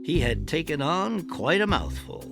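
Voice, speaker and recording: An actor playing a droll adventurer who poses as a wacko scientist, fussy, wry and mock-formal, in an audio drama. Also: male voice, on the high side, big pitch swings, a little slow, vocal fry, loud music behind the voice, exaggerated voice. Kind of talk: audio drama narration and dialogue.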